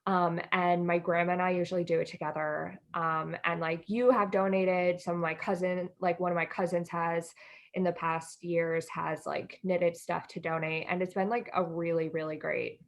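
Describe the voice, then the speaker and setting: A woman's voice: lowish voice, little pitch movement, normal speed. A young American woman co-hosting a podcast in casual chat, informal and self-deprecating. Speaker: podcast co-host.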